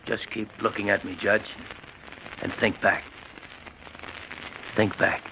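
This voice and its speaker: Low-voiced, embittered old ex-convict. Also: male voice, little pitch movement, bright, forceful tone. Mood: quietly menacing. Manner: brooding, halting, insistent. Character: embittered, prematurely aged ex-convict, big and broken. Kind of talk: 1940s radio drama acting. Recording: muffled noisy old radio recording.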